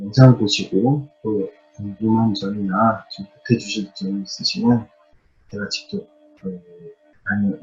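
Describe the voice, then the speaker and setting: A man presents academic project results in a formal but halting manner. His voice sounds a little strained, with pauses and repeats. The recording is dull and lo-fi, with music playing behind him. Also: on the low side, darkish tone. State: strained, uncomfortable voice. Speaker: humanities professor presenting.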